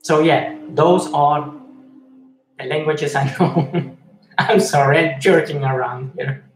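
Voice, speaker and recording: A man from Switzerland, hosting a live-stream chat and reading viewer messages aloud, speaking in a halting way with many fillers and restarts. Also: a little high, soft background music. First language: Swiss German and Italian. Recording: echoey room with faint noise.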